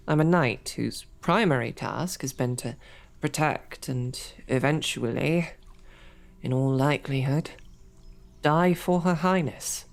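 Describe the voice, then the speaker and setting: A woman's voice: deep voice, gravelly, darkish tone, heavily acted. A woman voicing a captive young woman knight who talks in a dry, formal, wryly understated way, in an audio roleplay drama. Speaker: voice actor.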